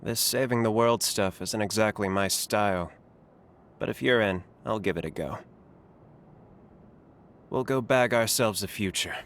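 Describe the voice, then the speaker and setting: Low-voiced, dry veteran fighter. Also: male voice, measured pace, pauses often, a bit bright, slight background noise. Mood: wry, casual. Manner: dry, understated. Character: laid-back, dry-witted veteran fighter, reluctant hero. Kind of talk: dramatic game cutscene dialogue.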